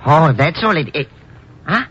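Jittery, high-pitched comic sidekick. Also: male voice, animated, a little slow, a bit bright. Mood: nervous, puzzled. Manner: stammering, slangy, ungrammatical, bewildered. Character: jittery, slow-witted, loyal male comic sidekick. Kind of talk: 1950s radio drama acting. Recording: muffled, noisy old radio broadcast.

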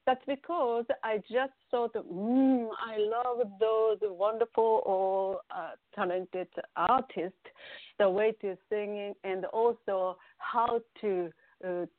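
A woman's voice: mid-range voice, steady tone, slow, no echo. A Japanese woman in her fifties, a singer being interviewed, speaking English with a Japanese accent and many fillers. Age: 50s. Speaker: guest singer. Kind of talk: interview conversation. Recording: tinny phone-like audio, dry.

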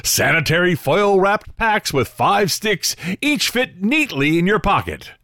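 Animated vintage radio host. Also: male voice, sits high, a little slow, no echo, clean studio sound, heavily acted. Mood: folksy, upbeat. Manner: theatrical, earnest, polished. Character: dramatic, cheerful old-time male radio host and pitchman. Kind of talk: 1930s radio narration and sponsor read. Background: faint music.

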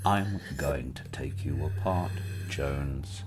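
A man voicing an aging, wealthy occult murderer who talks slowly and cruelly, relishing every word. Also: a bass voice, background noise. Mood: sinister hushed. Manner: slow, relishing, sinister; laughs. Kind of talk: audio drama acting. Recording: music bed under voice.

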